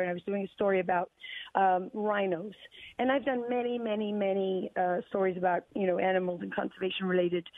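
Middle-aged woman from South Africa, guest journalist on a dry, tinny phone line; emphatic, halting delivery. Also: medium pitch, no room sound. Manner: deliberate, emphatic, with many fillers and repeated words. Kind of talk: phone-in interview.

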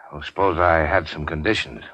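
An actor playing a weary, sardonic gangster in a 1940s radio drama, terse and slangy. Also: male voice, rumbling low, brisk, a bit bright, no echo. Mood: scheming, terse. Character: weary hard-boiled gangster, wounded, sardonic and unafraid. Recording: dull 1940s radio recording.

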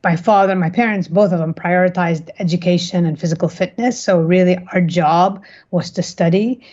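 A woman from Kuwait answering interview questions reflectively, often halting and correcting herself, on slightly dull audio. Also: lowish voice, a little mellow. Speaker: interview guest, cookbook author.

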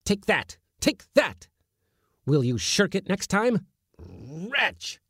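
A man's voice: a little high, very animated, takes it slowly, a little mellow, no echo, studio quality, voice pushed up. A man voicing an irascible middle-aged male Latin schoolmaster who blusters and scolds in a raised, strained voice. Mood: furious.

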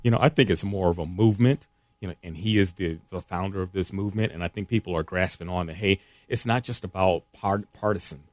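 Fast-talking low-voiced man. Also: a little mellow, no echo. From Indiana. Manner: quick, upbeat, agreeable. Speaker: coalition organizer on a panel. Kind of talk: casual political discussion.

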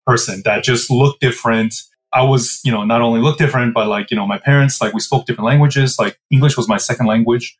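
A man's voice: medium pitch, steady tone, fast, hardly any pauses. A man in his forties from the Midwest, telling his story in an interview with a reflective, meandering manner full of fillers. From Midwestern United States. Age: 40s. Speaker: guest, business co-founder. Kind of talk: casual interview answers.

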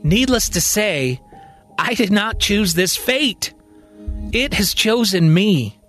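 A man's voice: high-pitched, lively intonation, slow pace, fairly bright tone, soft background music, exaggerated voice. A man voicing a reflective, wry woman psychiatrist writing her journal in an audio drama.

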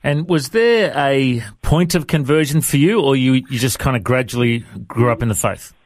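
A man's voice: mid-range voice, normal speed, dry recording. Chatty Australian male podcast host. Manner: friendly and chatty. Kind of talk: interview.

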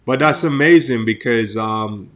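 A man's voice: medium pitch, a little slow, a bit bright. A man co-hosting a faith interview show, welcoming a guest and leading a prayer, with many hesitations and repeated welcomes.

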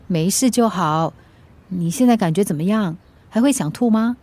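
An actress playing a caring, gently nagging friend in a radio drama, reassuring and solicitous, with a Singaporean accent. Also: female voice, medium pitch, normal speed, natural voice. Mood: concerned, helpful.

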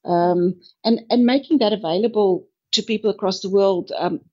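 A woman from South Africa with a South African accent, a guest speaking enthusiastically in a podcast interview with thoughtful pauses. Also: medium pitch, darkish tone, slightly rough, lo-fi sound. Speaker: guest, energy executive.

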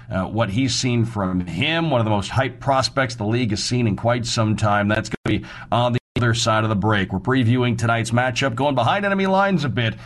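A man hosting a sports radio show, previewing games and reading promos in a conversational, folksy way. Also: mid-range voice.

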